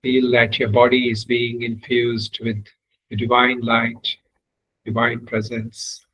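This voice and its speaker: A man leading a guided meditation as a teacher, giving measured, unhurried instructions with long pauses. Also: mid-range voice, monotone, a bit bright, dull audio, slight background noise.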